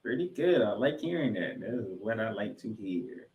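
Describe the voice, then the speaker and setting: A man hosting a sports podcast in a showy, emphatic way, swinging between loud and quiet. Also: fairly low pitch, big pitch swings, moderate pace, roomy sound. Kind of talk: hosting banter and football recap.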